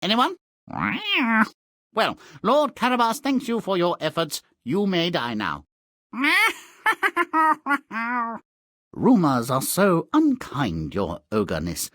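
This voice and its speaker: A man voicing a sly, flattering trickster cat servant for a fairy tale video game, with oily mock politeness and purring insinuation. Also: sits high, takes it slowly, long pauses, dry recording, clean studio sound, heavily acted.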